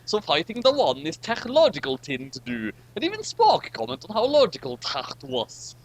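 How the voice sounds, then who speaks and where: Theatrical man with very animated pitch. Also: normal speed, a little hiss or hum, poor audio. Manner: theatrical, stumbles over garbled wording. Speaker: narrator reading fan fiction aloud. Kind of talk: dramatic comic reading of badly written fan fiction.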